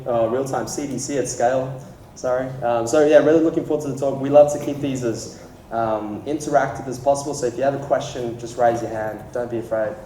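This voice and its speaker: A man with a mellow voice opens a community meetup as host. He speaks informally and haltingly to the room. The mic sounds distant in an echoey space with faint noise. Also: medium pitch. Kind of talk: informal welcome speech to a live room. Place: indoor meetup room with audience.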